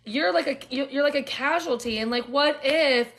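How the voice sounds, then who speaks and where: A woman's voice: high-pitched, moderate pace, a bit bright. A woman hosting a casual interview, chatty and sympathetic toward her guest. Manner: sympathetic, chatty, laughs along. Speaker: podcast host.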